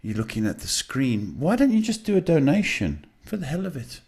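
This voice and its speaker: A man hosting live psychic readings, talking casually and haltingly as he advises people, often checking in with a short questioning word. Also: a little high, sing-song, a little mellow, slightly rough. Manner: halting, repeats "okay" as a check. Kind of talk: live advice to callers, casual spiritual talk.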